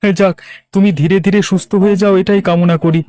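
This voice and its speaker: A man's voice: high-pitched, level tone, fast talker, mellow, smooth, lightly acted. A man voicing an eager, helpful village labourer in a cartoon, with a reassuring, deferential manner. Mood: friendly, reassuring. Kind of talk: animated story voice acting.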